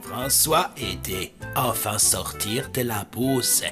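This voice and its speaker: A performer voicing a slow-witted, eager, good-hearted cartoon monster who speaks in broken sentences about himself in the third person. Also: mid-range voice, expressive, music in the background. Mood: pleased, eager. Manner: broken grammar, refers to self by name. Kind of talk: French animated cartoon acting.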